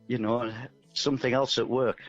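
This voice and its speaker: A man's voice: mid-range voice, fairly even intonation, moderate pace. A man chats casually as a musician guest, in a rambling, self-deprecating way. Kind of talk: casual radio interview chat. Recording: dull, muffled audio over music.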